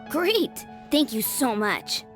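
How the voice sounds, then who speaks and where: A woman's voice: high-pitched, big pitch swings, slow, over music, full character voice. A woman voicing an eager, earnest young female knight-in-training in an anime game, speaking expressively. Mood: sheepish.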